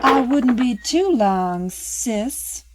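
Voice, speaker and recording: A woman's voice: a little high, animated, drawn-out words, heavy background noise. A woman playing a sly, flirtatious, haughty sister in an audio soap drama, with a teasing drawl. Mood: teasing, smug. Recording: music behind the voice.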